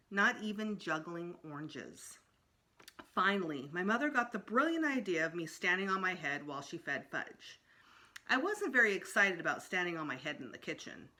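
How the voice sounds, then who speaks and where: Bright, animated woman reading a children's story. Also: fairly low pitch, normal speed. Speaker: storytime reader. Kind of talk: expressive children's audiobook-style narration.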